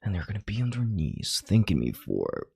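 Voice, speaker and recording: A man playing a calm, controlling, arrogant chief executive in an intimate audio roleplay, with slow, deliberate, condescending authority and a hushed delivery. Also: dry recording, studio quality, exaggerated voice. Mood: tender, confident. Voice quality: deep, gravelly, breathy half-whisper. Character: calm, controlling, arrogant CEO soothing his analyst.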